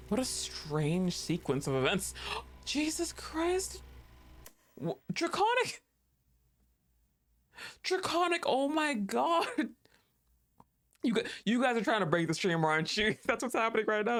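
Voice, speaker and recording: A woman streaming a game live, giggling often, swearing freely and gushing thanks to her chat. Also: lowish voice.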